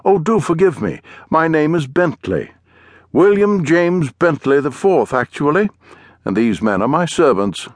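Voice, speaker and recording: An upper-class English gentleman adventurer, pompous and endlessly chatty. The voice sits high and leaps about in pitch, effusive and cheerful, in the manner of an audiobook character. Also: very animated, measured pace, no echo, clean studio sound. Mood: pompous, polite.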